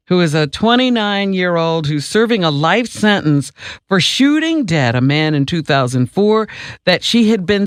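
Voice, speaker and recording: A woman working as a radio co-host, reading the news deliberately and adding wry, emphatic asides in casual banter. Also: mid-range voice, no room sound, studio quality.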